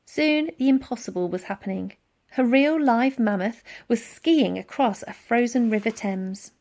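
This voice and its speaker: A woman reading a children's storybook aloud in a dramatic, expressive narrating style. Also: a little high, moderate pace. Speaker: audiobook reader.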